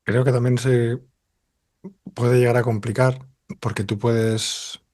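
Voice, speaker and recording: A man's voice: mid-range voice, fairly even intonation, takes it slowly, a little mellow. A man on a casual podcast panel, explaining a point with examples.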